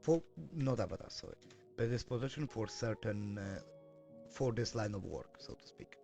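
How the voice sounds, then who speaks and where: A man's voice: lowish voice, expressive, moderate pace, gravelly, mellow, soft background music. A man streaming and chatting casually as he works, hesitant with lots of fillers and self-corrections. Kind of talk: casual live-stream chat while working.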